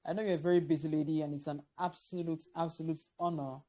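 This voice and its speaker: A woman's voice: sits low, fairly even intonation, quick pace, dark tone. A woman hosting an interview over a video call, asking questions with frequent stammered restarts. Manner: repeats words, stammering starts. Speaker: interviewer, host.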